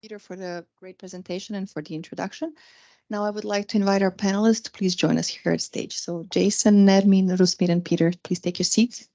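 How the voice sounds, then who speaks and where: Mellow-voiced woman moderating a panel. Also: mid-range voice, steady tone, fairly quick, muffled recording. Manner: formal, reading introductions. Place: event venue.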